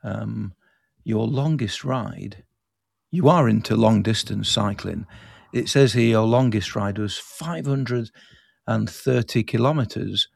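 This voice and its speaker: A man hosting a podcast and interviewing a guest in a relaxed, plain-spoken way. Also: lowish voice, slow, creaky. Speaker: podcast host.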